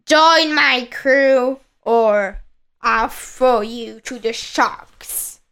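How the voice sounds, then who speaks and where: A woman voicing a fierce male pirate captain from a children's storybook, growling and bellowing slow commands. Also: on the high side, fairly bright tone, clear, resonant tone, full character voice. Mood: menacing.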